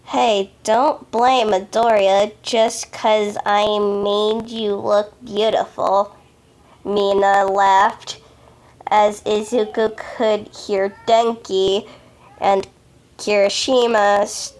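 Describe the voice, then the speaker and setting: A woman reading a story aloud as an amateur, haltingly, often stumbling over words and correcting herself. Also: medium pitch, flat delivery, a little mellow. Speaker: amateur fan fiction reader.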